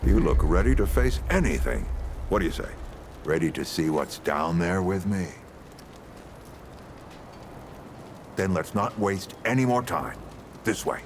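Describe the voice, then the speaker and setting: An actor playing a weary, reflective veteran founder and explorer in a video game, telling his story with deliberate drama. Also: deep voice, lively intonation, a little hiss or hum. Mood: inviting, nostalgic.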